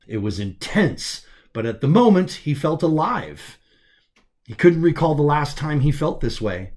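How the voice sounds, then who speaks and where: A man's voice: mid-range voice, darkish tone. Man narrating a dramatic audiobook. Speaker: author narrating his own story.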